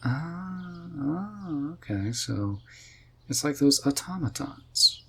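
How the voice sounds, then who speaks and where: A man's voice: a little high, sing-song, takes it slowly, vocal fry, pushed and bright, husky, exaggerated voice. A man voicing a laid-back, friendly and curious male mage in a fantasy roleplay audio, with a casual, questioning manner. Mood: puzzled. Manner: casual, easygoing, puzzled questioning. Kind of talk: roleplay audio drama for the listener.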